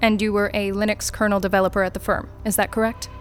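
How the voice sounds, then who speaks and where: A woman's voice: medium pitch, little pitch movement, faint music behind, close to an everyday voice. An actress as a coolly polite female corporate interrogator. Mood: clinical, probing. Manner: brisk, formal, dryly sardonic. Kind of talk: audio drama acting.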